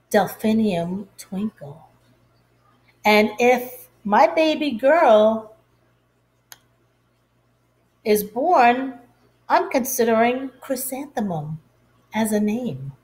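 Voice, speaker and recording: Woman playing a kind, dreamy storybook music teacher, slow-spoken. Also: on the high side, animated, darkish tone. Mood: gently proud.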